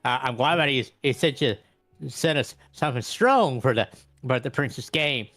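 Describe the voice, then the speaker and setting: A man's voice: medium pitch, fairly bright tone, no echo, faint music behind, natural voice. A man playing a nervous, folksy middle-aged vampire preacher who rambles and stammers in a tabletop game. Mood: friendly, flustered. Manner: halting, stammering, folksy "y'all".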